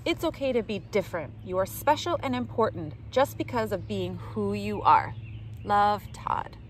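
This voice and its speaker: A middle-aged woman reading a picture book aloud to young children in an animated, direct way, recorded outdoors in the woods. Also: mid-range voice, normal speed. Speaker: children's storytime reader. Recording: outdoor ambient noise.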